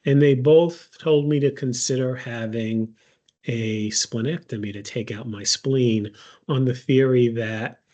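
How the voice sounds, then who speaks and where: A man's voice: medium pitch, mellow, muffled recording. Man in his sixties telling his story. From United States. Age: 60s. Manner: unhurried, many fillers and pauses. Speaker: patient advocate. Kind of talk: personal story, casual talk.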